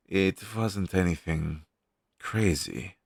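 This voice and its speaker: Slow, low-voiced wistful crow demon played by a man. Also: gravelly, slightly rough, no echo, clean studio sound, full character voice. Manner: conversational, hesitant, with sighs and pauses. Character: down-on-his-luck male crow demon, former witch's familiar, wistful and friendly. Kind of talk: audio roleplay addressed to the listener.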